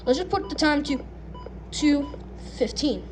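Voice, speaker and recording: A woman casually narrating an unboxing and setup in a halting way, muttering short phrases to herself. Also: high-pitched, animated. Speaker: unboxing video host. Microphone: handheld camera or phone, no tripod. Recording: noisy handheld home video recording.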